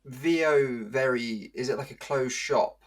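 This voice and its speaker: A man's voice: mid-range voice, a little slow. A man asking questions as a podcast interviewer, casual and a little hesitant.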